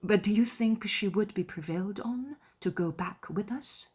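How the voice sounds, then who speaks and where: A woman plays a kindly middle-aged aunt from a period novel. She is sensible and gently concerned, speaking in a measured way with a soft, breathy voice. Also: mid-range voice, lightly acted. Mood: sympathetic, concerned. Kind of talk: dramatized classic novel audiobook.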